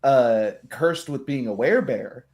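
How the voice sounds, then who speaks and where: A man telling anecdotes in casual conversation, halting as he goes and quick to be amused. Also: mid-range voice, expressive, darkish tone. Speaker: tabletop role-player.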